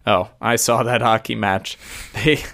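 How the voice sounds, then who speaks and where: A man's voice: fairly low pitch, fairly even intonation, measured pace, gravelly, a bit bright. A man co-hosting a casual podcast who rambles through lists, laughs often and swears now and then. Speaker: podcast co-host.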